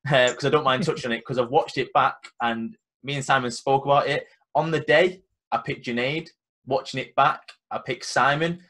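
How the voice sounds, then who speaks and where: A man's voice: medium pitch, fairly even intonation, normal speed, fairly bright tone. A young man hosting a casual podcast chat. He is confident and emphatic, and he acts out the conversations he retells. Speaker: podcast host and event organizer.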